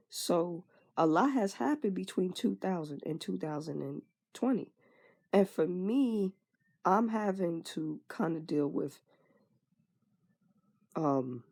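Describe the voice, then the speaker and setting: A woman's voice: medium pitch, vocal fry, warm tone. A woman in her twenties frankly venting in a candid personal monologue, swearing casually and trailing off with fillers. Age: 20s. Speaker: vlogger.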